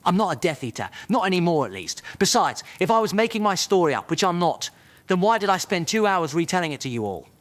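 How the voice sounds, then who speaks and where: Man acting a defiant, sarcastic reformed dark wizard. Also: sits high, very animated, fast, dry recording. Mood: sarcastic, indignant. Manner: sarcastic, pleading, insistent. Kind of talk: fan audio drama acting.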